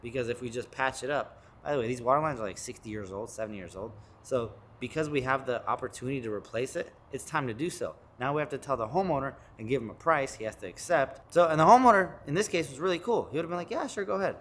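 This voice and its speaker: A man's voice: medium pitch, quick pace, few pauses, a bit bright, a little hiss or hum. Brash man giving blunt business advice. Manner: brash, emphatic, swears, repeats key points. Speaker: landscaping business owner and vlogger. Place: home office with studio monitors, also outdoors and in a truck.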